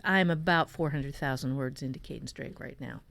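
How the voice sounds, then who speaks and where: A woman's voice: rumbling low, normal speed, creaky, a bit bright. A woman hosting a casual talk on writing, giving advice earnestly and thinking aloud with emphatic repetition. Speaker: podcast host and novelist.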